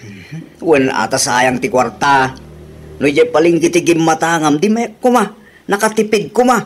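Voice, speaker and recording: An actor playing a thrifty, exasperated husband in a radio drama, grumbling reproachfully with an Ilocano accent. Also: male voice, on the high side, a little slow, a little hiss or hum, lightly acted.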